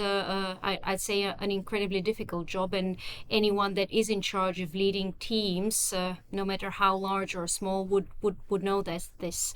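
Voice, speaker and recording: Female executive guest, articulate and measured, exceptionally clean resonant tone, little pitch movement. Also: a bit bright, clean tone, rough recording. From Bulgaria. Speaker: company chief executive, interview guest. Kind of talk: interview answers.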